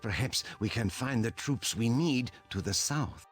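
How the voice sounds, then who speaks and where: A man's voice: medium pitch, measured pace, music in the background, natural voice. An actor playing a courteous, earnest medieval nobleman in a strategy game, speaking formally. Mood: urgent. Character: grave, courteous medieval male lord's envoy, pleading for aid. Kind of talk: scripted game dialogue.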